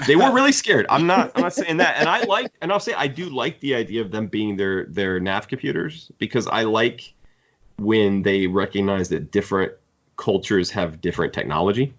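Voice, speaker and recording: A man's voice: lowish voice, expressive, normal speed, a bit bright, highs cut off. A man co-hosting a podcast, giving critical opinions in casual book discussion. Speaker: podcast host.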